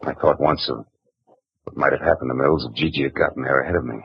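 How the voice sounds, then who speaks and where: A hard-boiled private eye talks in a low, very husky, clipped voice full of wisecracks. The vintage broadcast sound is muffled and lo-fi. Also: male voice, steady tone, fast talker, no echo, full character voice. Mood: narrating. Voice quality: husky, clipped, tough-talking. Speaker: narrator and lead. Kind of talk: 1940s radio acting. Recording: dull old radio broadcast.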